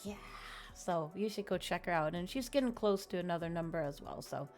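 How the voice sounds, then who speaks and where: A woman's voice: fairly low pitch, lively intonation, fairly quick, darkish tone. A woman live streamer chatting casually and informally to her viewers in a friendly way. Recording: music playing behind the voice. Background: background music.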